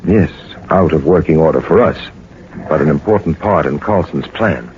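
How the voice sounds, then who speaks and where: An actor playing a cool, quick-thinking adventurer captain in a 1940s radio drama, giving crisp orders. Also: male voice, rumbling low, a little mellow. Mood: hushed, purposeful. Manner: crisp, commanding. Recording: old muffled radio recording, heavy noise.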